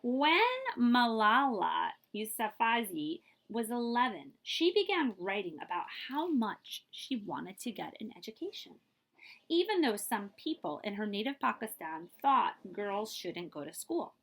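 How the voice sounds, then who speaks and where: Female read-aloud storyteller, highly animated pitch swings, emphatic delivery for a children's picture book. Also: a little slow, vocal fry. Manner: expressive, emphatic storytelling with brief asides. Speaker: librarian reading a book aloud.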